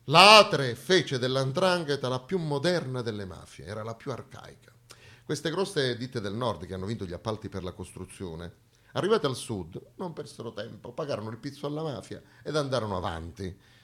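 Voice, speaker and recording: A man with a southern Italian accent delivering a satirical stage monologue in a dramatic, sarcastic, expressive storytelling manner. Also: medium pitch, normal speed.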